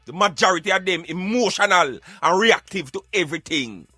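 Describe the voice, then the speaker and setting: A man with a Jamaican accent giving emphatic, argumentative, streetwise opinions in animated radio banter. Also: high-pitched, a little fast, slightly rough, no echo, soft background music. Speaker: radio show host.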